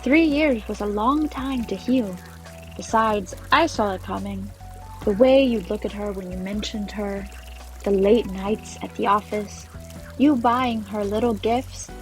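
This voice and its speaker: A woman's voice: medium pitch, a little slow, faint music behind, lightly acted. A woman playing a wry, forgiving, teasing former fiancée with a scoffing manner in a fantasy audio drama. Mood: resigned, forgiving. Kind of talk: acted fantasy audio drama from tabletop game.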